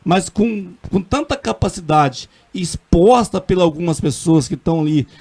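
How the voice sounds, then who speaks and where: A middle-aged man with a Minas Gerais accent speaks in a fairly high voice, earnest and emphatic as a councilman on a radio interview. He halts and restarts often but presses his points with conviction. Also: expressive, normal speed, darkish tone. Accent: Minas Gerais Portuguese. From Brazil. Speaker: city councilman.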